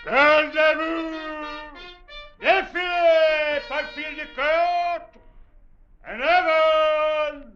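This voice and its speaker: An actor playing a harsh French Legion sergeant bellowing drawn-out commands in a 1940s radio drama. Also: male voice, very high voice, fairly even intonation, heavily acted. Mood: barking, commanding. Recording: muffled vintage radio, music behind.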